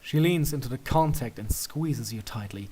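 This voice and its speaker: Animated story narrator, mid-pitched. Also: normal speed, breathy edge.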